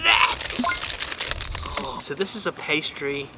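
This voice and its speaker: A man's voice: fairly high pitch, a little fast, heavy background noise. A man chatting casually and enthusiastically as a cheerful travel vlogger. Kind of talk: casual vlog chat. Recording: loud music behind the voice.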